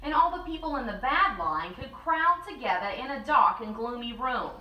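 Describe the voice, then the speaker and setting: A woman co-hosting a story time, reading a picture book aloud in an expressive, deliberate way with drawn-out words. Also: on the high side, dull audio, a little hiss or hum. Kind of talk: animated children's story read-aloud. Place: small living room with fireplace and bookshelves. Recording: roomy, slightly distant camera mic.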